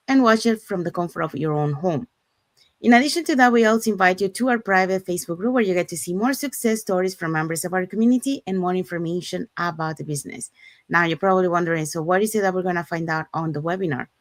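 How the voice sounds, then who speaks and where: A woman's voice: fairly low pitch, no room sound. A woman from Panama co-hosting a live-stream chat, explaining step by step with many "um"s and "so"s. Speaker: co-host.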